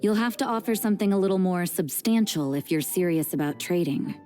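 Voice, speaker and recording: A woman's voice: fairly low pitch, expressive, brisk, warm tone, soft background music, close to an everyday voice. An actress playing a cool, sardonic adult woman, dry and dismissive. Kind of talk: video game voice acting.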